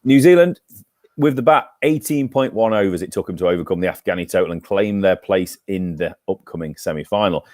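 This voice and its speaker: Low-voiced man recapping cricket. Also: lively intonation, fairly quick, darkish tone, no echo, studio quality. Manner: chatty, teasing, reels off stats. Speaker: podcast co-host. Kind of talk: casual cricket chat and match recap.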